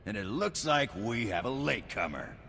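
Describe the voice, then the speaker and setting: A booming, authoritative tournament master in a video game. His voice is sharp and gravelly, with a theatrical delivery. Long reverb surrounds him. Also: big pitch swings, normal speed, a little hiss or hum, full character voice. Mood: commanding. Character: commanding male arena master of a bounty hunters' tournament.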